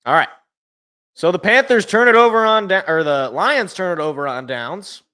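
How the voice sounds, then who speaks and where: A young man calling a football game play-by-play from a sports podcast, casual, with a slightly rough, sniffly voice from allergies. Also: high voice, normal speed. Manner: excited calls, casual asides. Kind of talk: live play-by-play commentary.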